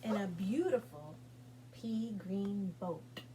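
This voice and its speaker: A woman's voice: mid-range voice, expressive, slow pace, noisy background. A woman leading storytime as a children's librarian, reading and singing along in a sing-song, coaxing way as if to small children, with questions for them.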